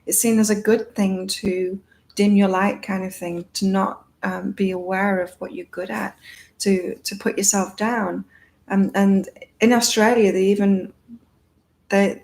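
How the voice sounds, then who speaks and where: A woman hosting a live psychic card-reading chat with a calming voice, talking in a rambling, reflective way with many fillers. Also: mid-range voice, low-quality recording. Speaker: psychic reader and live-stream host. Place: in Thailand.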